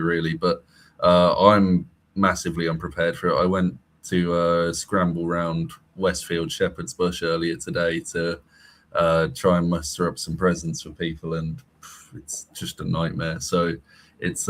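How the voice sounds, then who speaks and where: A young man from Birmingham chatting easily on a podcast. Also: sits low. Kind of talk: casual chat.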